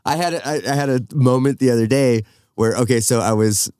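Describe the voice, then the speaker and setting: A man's voice: mid-range voice, a little fast, darkish tone, clean tone, no room sound, studio quality. A man in his thirties chatting casually as a podcast host, bantering with the others. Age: 30s.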